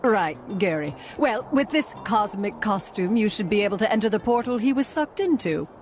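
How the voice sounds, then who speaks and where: Game role, actress: sly mystical woman merchant, mid-pitched, honeyed teasing delivery, light music behind. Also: animated, moderate pace, darkish tone, lightly acted. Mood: sly, ominous.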